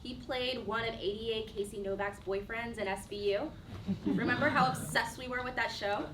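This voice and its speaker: A woman playing a giddy, gushing 23-year-old in a staged play reading, playful and quick to defend herself. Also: fairly high pitch, fairly even intonation, close to an everyday voice. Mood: excited, playful. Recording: distant mic, audible room noise.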